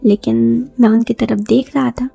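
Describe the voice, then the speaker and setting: A woman's voice: fairly high pitch, fairly even intonation, measured pace, gravelly, mellow, husky, no echo, soft background music. A woman narrating a first-person story in a confiding, conversational way, acting out bits of dialogue.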